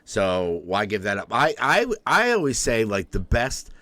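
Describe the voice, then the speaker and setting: Male podcast co-host, low-ish voice, bouncy intonation, loose rambling chat, dry room. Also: sing-song, measured pace, bright, forceful tone, dry recording. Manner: rambling, swearing, lots of fillers and restarts. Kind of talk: casual movie chat.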